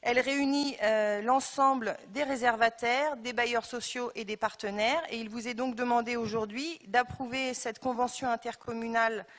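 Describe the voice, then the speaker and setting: Woman councillor reading out a formal presentation, highish voice, big echoey hall. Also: steady tone, normal speed, few pauses, a bit bright. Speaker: local elected official. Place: large council chamber.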